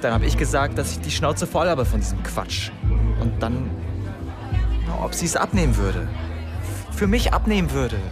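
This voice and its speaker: A man's voice: medium pitch, very animated, normal speed, pauses often, loud music behind the voice, over a noisy crowd, close to an everyday voice. An actor playing a flustered young man who stammers through a guilty confession in a radio drama. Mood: defensive.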